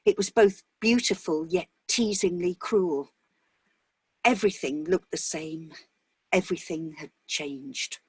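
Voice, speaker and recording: A woman's voice: fairly low pitch, measured pace, breathy edge, dry recording. A woman reading a dramatic short story as scripted narration.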